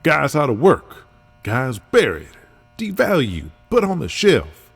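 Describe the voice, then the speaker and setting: Man acting a husky, animated wrestling booker over music. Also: mid-range voice, slow, full character voice. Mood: rallying. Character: brash, persuasive wrestling booker and star with big plans. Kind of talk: audio drama dialogue. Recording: music bed under the voice.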